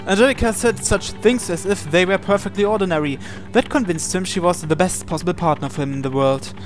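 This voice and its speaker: A man's voice: high-pitched, brisk, soft background music. A man reading visual novel narration aloud, sometimes stumbling over and repeating words as he goes. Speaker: video game player reading aloud.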